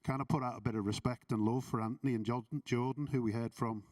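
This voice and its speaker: A man's voice: medium pitch, steady tone, fast, a little mellow, recorded from a distance. A middle-aged man with a Scouse accent, giving earnest, self-deprecating closing remarks at a conference, with many ums. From Liverpool, England. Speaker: conference speaker. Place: conference hall.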